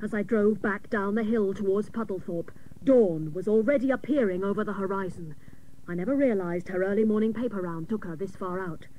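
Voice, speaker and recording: A hapless female private eye played by a woman tells her case in a comic radio play. She is mock-dramatic and deadpan, in a mid-pitched voice, on a noisy old cassette recording. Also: fairly quick, close to an everyday voice. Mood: mock-dramatic narrating. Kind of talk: comic radio serial narration.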